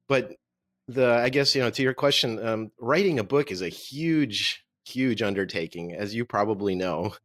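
A man in his forties, born in Russia with Russian as his first language, answering interview questions as an author in a relaxed, joking way. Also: on the low side, normal speed, no echo, clean studio sound. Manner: relaxed, joking, laughs at own quips. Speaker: author and marketing agency owner.

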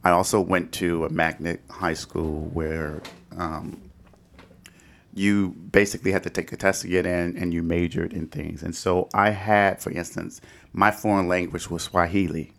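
A man's voice: deep voice, little pitch movement, a little slow, creaky, a bit bright. A middle-aged American man from Detroit hosting a conversational podcast discussion and asking questions. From Detroit, United States. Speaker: podcast host.

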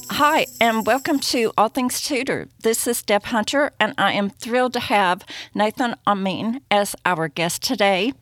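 A woman hosting a podcast, reading a scripted intro and interviewing a guest in an upbeat, enthusiastic way. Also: mid-range voice. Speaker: podcast host. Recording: dry studio sound with faint music.

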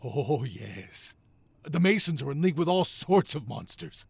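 An actor playing a paranoid, excitable conspiracy theorist in an audio drama, earnest and jittery, with a raspy, gravelly voice. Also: male voice, on the high side, unhurried, no room sound, full character voice. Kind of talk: audio fiction drama acting.

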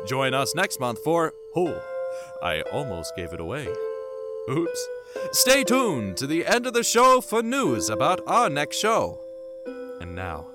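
A man narrating a scripted audio drama in a dramatic, theatrical storytelling manner. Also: on the high side. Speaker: narrator and announcer. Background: music.

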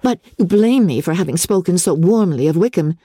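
Woman acting a spirited young English gentlewoman, wry, teasing, candid, animated melody, soft-edged husky tone, classic novel. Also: on the low side, a little fast, no echo. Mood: anxious candour. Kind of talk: dramatic classic novel reading.

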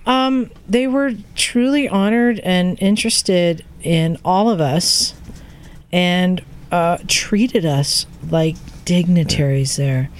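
A woman's voice: on the low side, takes it slowly. Woman telling a story among friends. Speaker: podcast co-host telling a travel story. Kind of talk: casual storytelling in a group chat.

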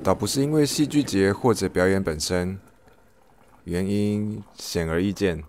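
A man's voice: deep voice, a little slow, a little mellow, slight background noise. A man podcast host delivering a scripted, reflective personal monologue.

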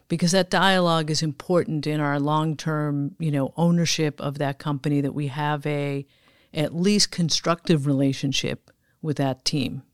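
A woman giving measured, businesslike explanations as an executive guest in a podcast interview. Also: low-pitched, no room sound, clean studio sound. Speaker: podcast guest, chief investment officer.

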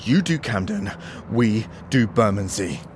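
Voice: medium pitch, lively intonation, a little slow, background noise, natural voice. An actor playing a bossy, exasperated field agent in pursuit, with an urgent, commanding, sweary delivery.

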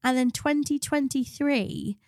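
Bunged-up woman podcaster, higher-pitched voice. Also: a little slow, darkish tone, dry recording, clean studio sound. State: bunged up with a cold. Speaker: podcast co-host, business psychologist. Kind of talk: chatty podcast hosting with scripted reads.

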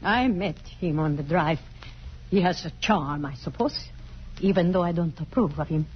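A woman's voice: deep voice, normal speed, natural voice. An actress playing a blunt, disapproving woman friend who scolds emphatically in a theatrical radio drama style. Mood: stern, disapproving. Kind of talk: 1950s radio drama acting. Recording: muffled, noisy old radio broadcast.

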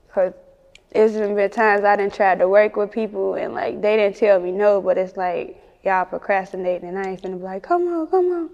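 A woman with a Chicago accent chatting casually and candidly in an interview as a rapper, slangy and quick to laugh. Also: medium pitch, gravelly, darkish tone, big-room sound, highs cut off. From Chicago, United States.

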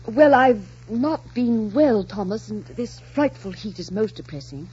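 A muffled 1940s radio recording of a proud young noblewoman. Her voice is very husky and breathy, her delivery haughty, sharp-tongued and dramatic. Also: fairly high pitch, animated, measured pace, heavily acted. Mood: evasive.

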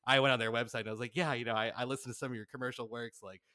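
A man speaking as a podcast guest in a casual, animated storytelling way, peppering his talk with "like". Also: medium pitch, very fast, no room sound, clean studio sound. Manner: animated, chuckles through anecdotes, frequent "like". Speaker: podcast guest, audio drama writer-director.

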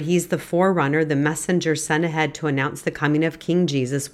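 A woman in her fifties hosts a devotional Bible podcast in a low, congested voice. She sounds stuffy from a head cold and clears her throat now and then. Her delivery is earnest and gentle as she teaches. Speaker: podcast host.

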